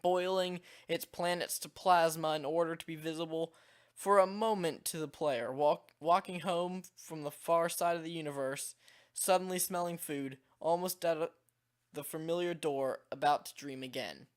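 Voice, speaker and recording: A man with a slightly hoarse, worn-out voice, reading a game text aloud in a calm, measured way. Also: fairly high pitch. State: slightly hoarse, voice nearly gone. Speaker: video creator.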